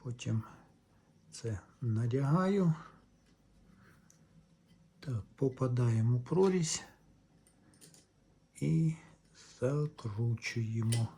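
Slow-talking, mellow man giving a demonstration. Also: medium pitch, expressive. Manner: unhurried, halting in short phrases while working. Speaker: host of a reel-to-reel repair channel. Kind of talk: casual hands-on demonstration.

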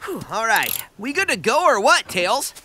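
A man's voice: very high voice, sing-song, normal speed, very noisy. An actor voicing a cocky, sarcastic young male hedgehog hero in a video game. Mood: wisecracking. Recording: game cutscene with loud music.

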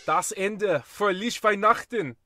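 A man voicing a loud, emphatic radio presenter, in a parody broadcast. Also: high voice, slow, faint music behind, exaggerated voice. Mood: triumphant. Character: bellowing German radio presenter.

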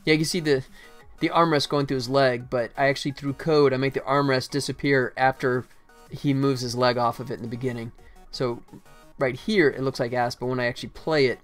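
A man in his forties giving casual live-stream commentary as he works at game development. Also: mid-range voice. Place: small indoor room at a computer. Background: faint music, keyboard typing.

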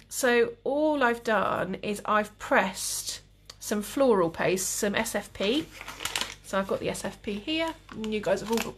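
A young woman with a calm, relaxed voice, demonstrating cake painting live and chatting with viewers. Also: mid-range voice. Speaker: cake decorating tutor.

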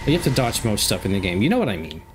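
A young man with a stuffed-up nose giving casual, jokey commentary while playing a game, breaking into excited outbursts. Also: lowish voice, racing, noisy background. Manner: chatty, jokey, excitable outbursts during fights. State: stuffed up from a cold. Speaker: gameplay commentator. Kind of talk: casual let's play commentary. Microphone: desk microphone.

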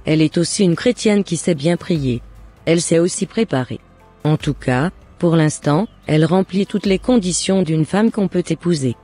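Mellow, husky older mother played by a woman. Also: fairly low pitch, normal speed, soft background music. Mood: approving.

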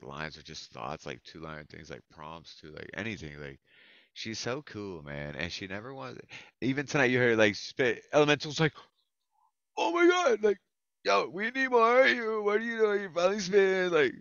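Open mic host: American man, thirties, effusive and slangy, mid-pitched, slightly dull video call sound. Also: fairly bright tone, clear, resonant tone, dry recording. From Florida, United States. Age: 30s. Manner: effusive, slangy, swears freely. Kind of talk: casual profane chat on a video call. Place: indoors at a computer, video call. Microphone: headset.

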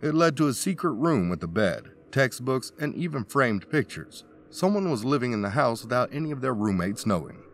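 A man's voice: medium pitch, big pitch swings, normal speed, few pauses, a little hiss or hum. A man reading a scripted countdown narration, dropping wry asides between the facts.

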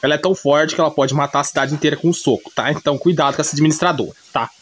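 A Brazilian man with a slightly high voice recaps an anime story in a casual, unscripted way. He rambles along in slangy speech and often corrects himself as he goes. It sounds like an informal storyteller talking off the top of his head. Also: very fast.

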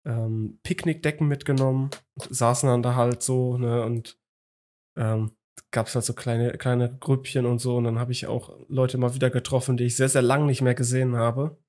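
A man's voice: medium pitch, flat delivery, normal speed, darkish tone. A young man hosting a podcast from a small home room into a studio mic, chatting casually and rambling with lots of fillers and restarts. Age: young adult. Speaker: podcast host. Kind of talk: casual chat. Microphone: studio mic on a boom arm, video call.

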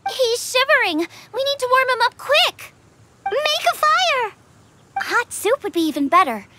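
A woman voicing an eager, bubbly teenage girl chef in a video game, with a perky, expressive manner. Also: very high voice, unhurried, background noise, full character voice. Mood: urgent. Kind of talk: animated video game voice acting.

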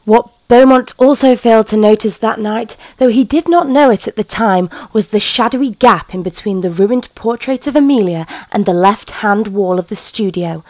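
A woman giving measured, scripted narration of a country-house mystery. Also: a little high, no echo, natural voice.

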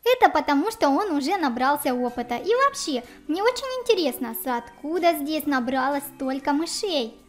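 Very high-pitched woman, chatty kids' game co-host. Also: big pitch swings, moderate pace, hardly any pauses, soft background music. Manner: chatty, exclamatory, addresses viewers. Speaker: co-host of a children's let's play. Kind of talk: game commentary for children.

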